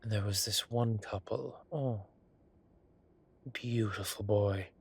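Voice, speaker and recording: A man playing an ancient, teasing godlike being with a soft romantic streak, in a theatrical, drawling and indulgent manner for a roleplay audio drama. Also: fairly low pitch, steady tone, takes it slowly, vocal fry, slightly rough, heavily acted. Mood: wistful, smug.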